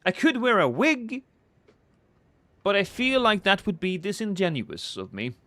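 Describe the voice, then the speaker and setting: Norwegian male streamer, fairly high voice, joking chat banter with loud bursts at the game. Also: big pitch swings, measured pace, no room sound. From Norway. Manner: reacts loudly to in-game action. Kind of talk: casual live gameplay stream chat.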